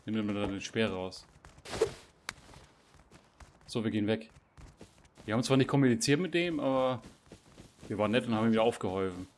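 A man's voice: lowish voice, animated, fairly quick, long pauses, darkish tone, slight background noise. A man chatting casually while playing a survival game, teasing himself and his teammate with frequent tag questions. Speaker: gamer streamer. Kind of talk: casual gameplay chat.

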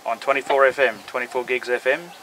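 A man's voice: mid-range voice, steady tone, quick pace, a bit bright. An Australian man in his forties giving an informal outdoor demonstration as an amateur radio operator. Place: open grassy hillside outdoors. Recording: outdoor recording with clear background noise.

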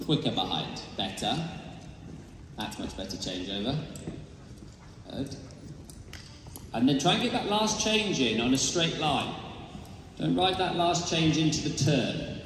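A man's voice: on the high side, big pitch swings, measured pace, pauses often, fairly bright tone, very echoey, long reverb tail, slight background noise. A man coaching a rider in a large indoor arena, giving directive, encouraging calls, recorded from a far camera. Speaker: riding coach. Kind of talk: live riding instruction in an arena.

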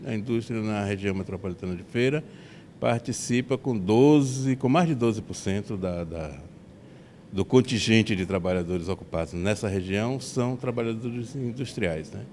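A man's voice: lowish voice, moderate pace, a little mellow, a little hiss or hum. A man, answering an interview on a handheld mic in a meeting room, hesitating and repeating words. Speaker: researcher interviewed. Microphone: handheld reporter mic.

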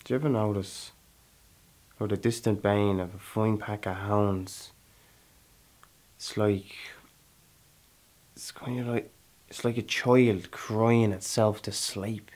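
A fairly low-pitched Irish man, hardened and restless as a male actor plays him in a theatrical monologue. He is a proud, brooding settler with blood on his hands. He speaks deliberately, leaving pauses and putting questions to his listener. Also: fairly even intonation, a little mellow. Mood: quiet, cold.

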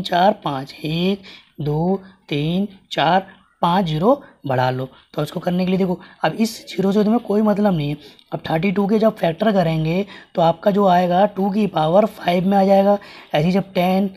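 A man teaching a maths lesson with a hoarse voice that is giving out. He works through each step patiently and keeps asking the class what comes next. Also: sits high, a little mellow. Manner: patient, explains with repeated rhetorical questions. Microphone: hands writing on paper, camera over the page.

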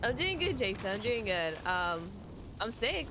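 A young woman with a hoarse, worn voice talks casually into a headset mic, greeting viewers by name as she plays. Loud gunfire and game sounds crowd the background. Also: mid-range voice, sing-song, unhurried, noisy background. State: hoarse, losing her voice. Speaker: streamer. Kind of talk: casual game stream chat. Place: bedroom.

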